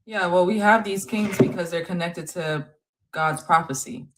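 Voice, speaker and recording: A woman co-hosting a religious live-stream panel, explaining to callers in a calm, measured way. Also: fairly low pitch, a little hiss or hum, rough recording.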